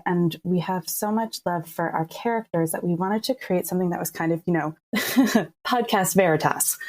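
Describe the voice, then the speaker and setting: A woman's voice: fairly low pitch, darkish tone. A woman giving articulate, enthusiastic explanations as a creative guest in an interview. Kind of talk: thoughtful explanatory interview talk.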